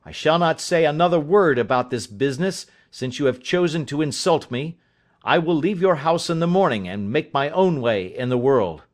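A man's voice: on the high side, expressive, moderate pace, close to an everyday voice. A man voicing a proud, defiant young son with a wounded manner, in an audiobook. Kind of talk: audiobook character dialogue.

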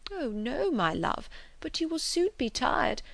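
A fretful elderly gentleman frets and pleads softly over small worries, as a woman reads him. His worried voice rises well above her own. The storybook reading is close and dry. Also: high voice, very animated, measured pace, creaky, no echo, voice pushed up, heavily acted. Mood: fussing. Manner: anxious, fussing, gently pleading. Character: fretful elderly gentleman, fussy invalid father.

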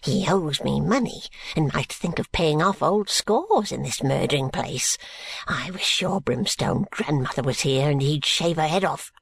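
A man voicing a feeble, grasping old grandfather in an audiobook, wheedling and drawn-out with muttered spite. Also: sits high, big pitch swings, voice pushed up, full character voice. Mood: spiteful muttering. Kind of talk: audiobook character voice.

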